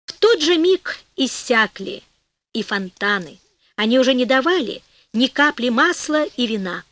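Female audiobook storyteller: expressive, deliberate, slow pace, dry close studio sound. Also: sits high, no room sound. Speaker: audiobook narrator. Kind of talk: expressive fairy-tale narration.

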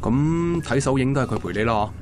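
A man's voice: medium pitch, expressive, fairly quick, background noise, close to an everyday voice. A man with a Hong Kong Cantonese accent playing an evasive young love interest in conversational radio-drama dialogue. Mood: probing. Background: music.

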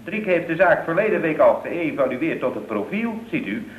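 A man's voice: fairly high pitch, sing-song, normal speed, phone-quality sound. An actor playing an earnest, deferential and slightly puzzled male employee in late 1960s radio comedy style. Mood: earnest, explaining. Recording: thin, roomy vintage radio recording.

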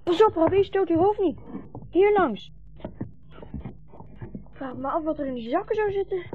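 A woman's voice: very high-pitched, lively intonation, normal speed. A woman playing a tired, earnest, polite thirteen-year-old boy in a 1960s radio play. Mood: curious. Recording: dull 1960s radio recording, music behind.

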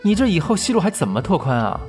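A man's voice: high voice, big pitch swings, quick pace, a little mellow, soft background music. An actor playing an exasperated but protective male talent manager, nagging and coaxing in an audio drama. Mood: concerned, exasperated. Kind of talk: audio drama acting with background music.

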